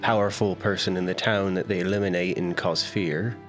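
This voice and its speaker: A woman's voice: a bass voice, steady tone, moderate pace, soft background music. A woman chatting casually as a player in a tabletop role-playing game.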